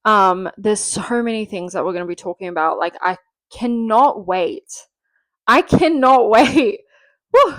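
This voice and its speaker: A woman's voice: a little high, dry recording. A woman in her twenties hosting a casual solo podcast, chatty and rambling with lots of fillers, a bit nasal from being sick, recorded at home. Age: 20s. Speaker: podcast host.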